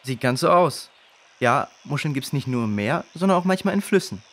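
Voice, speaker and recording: A man's voice: medium pitch, animated, normal speed, fairly bright tone. A man playing a clever, precise boy who explains and corrects, in a children's audio drama. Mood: explaining.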